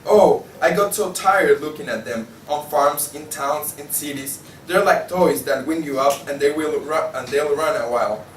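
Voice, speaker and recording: A man's voice: fairly high pitch, normal speed, hardly any pauses, recorded from a distance, close to an everyday voice. A man playing a jaded, disillusioned journal editor in a table read of a stage play, stumbling now and then over his lines. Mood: weary, bitter. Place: indoor room, table read. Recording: echoey room from afar, faint noise.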